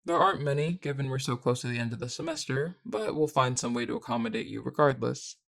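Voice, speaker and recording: A man's voice: mid-range voice, expressive, quick pace, vocal fry, fairly bright tone. A man playing a cool, dismissive academic advisor in an audio drama, speaking in a formal, politely detached way. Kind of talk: dramatic audio roleplay acting.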